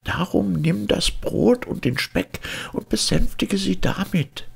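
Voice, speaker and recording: A man's voice: high voice, expressive, slow pace, vocal fry, breathy edge, pitched above the performer's own voice, exaggerated voice. A man voicing a kindly, worried old woman in a storybook fairy tale. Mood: gentle guiding.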